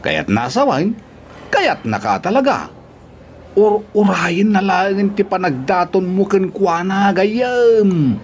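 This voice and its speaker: A man's voice: high voice, sing-song, slow, a little hiss or hum, heavily acted. An actor with an Ilocano accent playing a teasing, mischievous, cocky male workmate in a radio-style drama.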